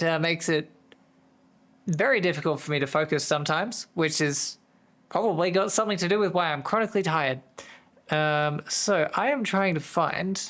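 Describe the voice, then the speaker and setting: A streamer with a voice that is going hoarse, chatting casually to viewers in a distracted, rambling way. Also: medium pitch, a bit bright. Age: adult, working full-time. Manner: easily distracted, rambling with many restarts. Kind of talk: casual live-stream chat.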